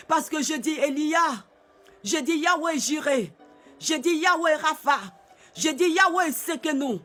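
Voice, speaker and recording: Fervent male prayer leader, very high bright voice, slow deliberate delivery, soft music under. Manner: fervent, repetitive, often shouted. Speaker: pastor. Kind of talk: prayer and worship exhortation.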